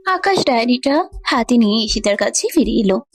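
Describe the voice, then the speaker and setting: A woman's voice: fairly high pitch, lively intonation, normal speed. A woman narrating a scripted romantic story.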